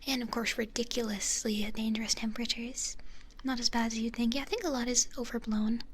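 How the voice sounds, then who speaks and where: A young woman from the United States, a VTuber whispering through an ASMR livestream, greeting her chat softly with little kiss sounds. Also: on the high side, steady tone, brisk, slight background noise. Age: young adult. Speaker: VTuber streamer.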